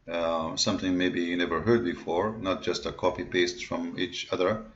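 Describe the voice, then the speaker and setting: A man's voice: sits low, dull audio, slight background noise. A man whose first language is Arabic, speaking English with an Arabic accent. He gives an emphatic lecture to viewers, counting off his points and restarting often with "uh". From Middle East. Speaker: online commentator on religion. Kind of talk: lecture-style talk to viewers.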